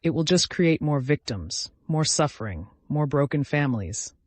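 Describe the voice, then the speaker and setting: A man's voice: fairly high pitch, expressive, moderate pace, dry recording. A man voicing an earnest woman lawyer in an audiobook, remorseful but resolute, reasoning and pleading. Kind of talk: dramatic audiobook character reading.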